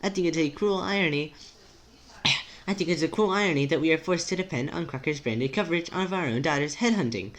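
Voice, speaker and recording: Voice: medium pitch, brisk, a bit bright, pitched above the performer's own voice, close to an everyday voice. An amateur reader voicing a poised, anxious mother who speaks in formal, precise, wordy sentences. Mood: frustrated.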